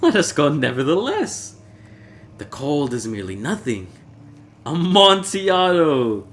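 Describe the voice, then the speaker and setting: A man voicing a drunk, excitable, proud nobleman in a theatrical story reading, exclaiming boisterously and insistently. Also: on the high side, measured pace, pitched above the performer's own voice, full character voice. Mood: insistent, scornful. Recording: clear background noise, ambience.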